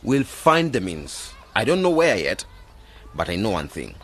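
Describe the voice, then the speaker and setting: A man's voice: on the low side, sing-song, unhurried, a bit bright, heavily acted. A man acting in a radio drama as an earnest, idealistic, determined young graduate planning a village project.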